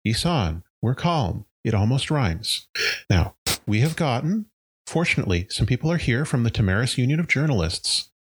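A man voicing a furry, bug-eyed female town mayor who keeps a crowd calm in a soothing, upbeat way. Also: mid-range voice, husky, voice pushed up.

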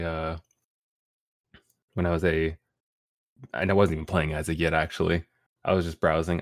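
A man's voice: sits low, dry recording, studio quality. A young man, a streamer guest on a podcast, talking casually with easy laughs and rambling restarts. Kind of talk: casual interview chat.

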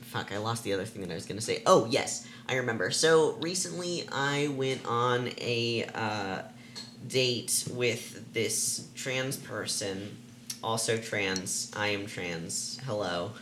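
A man's voice: fairly low pitch, slow, background noise. A young adult podcast co-host chatting casually, rambling and searching for words as he talks. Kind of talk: casual chat.

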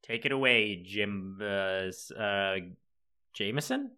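A woman's voice: very deep voice, takes it slowly, sharp tone, smooth. A woman co-hosting a comedy podcast, chaotic and goofy. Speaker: podcast co-host. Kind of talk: casual comedic chat.

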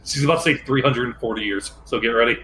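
A man roleplaying at a tabletop game, speaking in a wry, deliberate way. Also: mid-range voice, pushed and bright, poor audio. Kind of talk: improvised in-character roleplay. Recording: faint background room noise.

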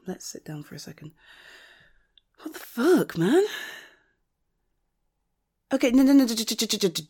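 A loyal, protective female friend played by a woman in an intimate roleplay drama. Her voice sits a little low and moves slowly. She is earnest and emotional, stressing and repeating her words as she offers support. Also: darkish tone, husky, full character voice. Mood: indignant, protective. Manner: earnest, emotional, halting, with sighs and emphatic repetition. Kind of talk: intimate one-sided audio roleplay acting.

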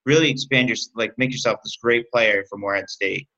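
A man's voice: medium pitch, a little fast, sharp tone. A man interviewing a basketball player, asking long questions that he often restarts. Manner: fumbling, restarts his questions. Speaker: interviewer, host. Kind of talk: sports interview. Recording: dull lo-fi recording.

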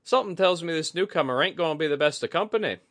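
A man voicing a brash, teasing woman leader in a fantasy audiobook, with wry, rough, folksy speech. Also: fairly high pitch, expressive, quick pace, fairly bright tone, pitched above the performer's own voice. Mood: wry, curious. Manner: wry, needling, colloquial. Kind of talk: audiobook fantasy character acting.

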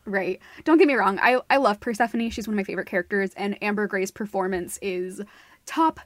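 A woman's voice: medium pitch, a little fast, dry recording, studio quality. A woman co-hosting a casual, opinionated talk show, speaking in an emphatic, analytical way and swearing casually. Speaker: podcast co-host discussing a stage musical. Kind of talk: casual, opinionated critical discussion.

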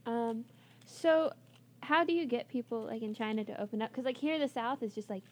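A woman's voice: a little high, brisk. A young woman hosting a college radio interview, chatty and bubbly, with lots of filler words. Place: radio studio.

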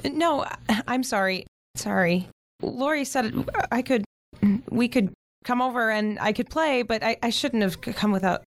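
An actress playing a shy, timid teenage sister with a mild, hesitant manner in a modern audio drama. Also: female voice, on the high side, unhurried, no echo, studio quality. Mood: anxious, hesitant.

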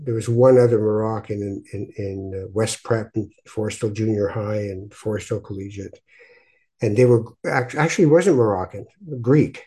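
Soft, mellow-voiced man hosting. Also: lowish voice. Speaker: podcast host. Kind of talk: conversational interview with reflective introduction.

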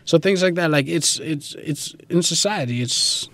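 A man's voice: fairly high pitch, animated, normal speed, a bit bright, dry recording. A young man from Guatemala speaking informally and with slang as he answers questions in a casual interview, leaning often on filler phrases. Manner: informal, slangy, peppered with "like" and "you know".

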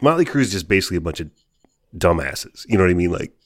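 A man in his forties with a deep voice talks loosely on a podcast, saying "like" again and again as he tells his story. The sound is dry and close-miked. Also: very animated, fast talker, dry recording. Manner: chatty, says "like" constantly. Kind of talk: casual podcast conversation.

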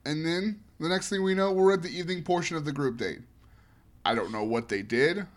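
A man co-hosting a chatty podcast, his voice sitting a little higher than most men's. He recaps with emphatic, opinionated conviction, pressing hard on his verdicts. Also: fairly quick. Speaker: podcast co-host. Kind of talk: casual chat.

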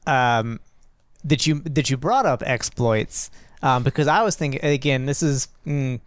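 A man hosting a casual gaming podcast, upbeat and playful, rambling quickly through asides. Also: medium pitch, smooth, dry recording. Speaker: podcast host.

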